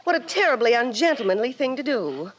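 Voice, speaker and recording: Gossipy, prim, higher-voiced friend. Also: female voice, very animated, a little fast. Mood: curious, gossipy. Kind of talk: 1950s radio acting. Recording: faintly noisy old radio recording.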